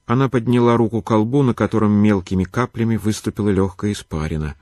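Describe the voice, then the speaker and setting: An actor reading as the author-narrator of a literary period tale in a radio play. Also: male voice, on the low side, fast talker, a little mellow, dry recording, clean studio sound, lightly acted. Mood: measured storytelling.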